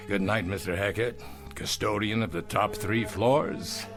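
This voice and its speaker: An actor playing a ruthless, boastful older tycoon in an audio drama, with a theatrical, sardonic manner. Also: male voice, fairly low pitch, slow pace, over music. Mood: restless longing. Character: ruthless aging male tycoon, boastful, hungry, nostalgic for hometown.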